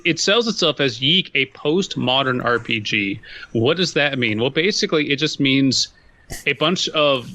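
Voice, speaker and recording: A man's voice: mid-range voice, bright, forceful tone. A man co-hosting a podcast, ranting casually in an emphatic, exasperated, sweary way. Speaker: podcast co-host.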